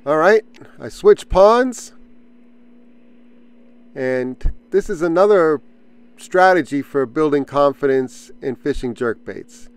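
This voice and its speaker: A man hosting his own fishing vlog, talking casually in a relaxed way with fillers while he casts and reels from a small boat on a pond, recorded on an action camera. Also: a little high, slow pace, long pauses, clean tone, no room sound. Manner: relaxed explanatory, thinking aloud with fillers. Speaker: fishing channel host.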